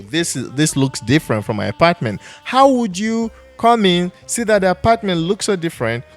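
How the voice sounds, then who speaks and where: A man from Nigeria who hosts a jazz podcast, talking in a loose, animated way with many restarts. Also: sits high. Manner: animated and storytelling, with laughs and restarts. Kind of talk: casual conversation.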